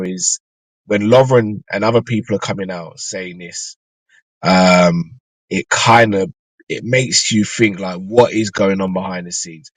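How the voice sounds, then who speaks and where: A man hosting a live fan show from inside his car, his voice still a little croaky from a flu, chatting loosely and thinking aloud with ums and restarts. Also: fairly low pitch, no echo, poor audio. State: slightly croaky after flu. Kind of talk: casual live-stream chat.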